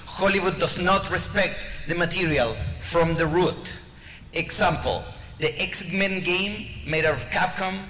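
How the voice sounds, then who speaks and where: A man with a highish, forceful voice makes an emphatic audience comment. The hall is echoey, with faint background ambience. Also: unhurried, a little hiss or hum. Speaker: audience member giving a comment. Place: large convention hall at a live panel.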